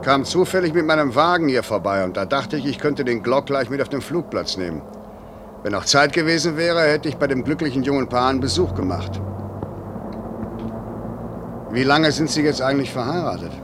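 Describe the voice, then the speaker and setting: A man acting a stern aviation boss in a 1950s German radio drama, over noticeable engine noise. His voice is mid-pitched and deliberate. He sounds paternal yet official. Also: animated, lightly acted. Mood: measured, official. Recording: noisy old radio recording, vehicle rumble.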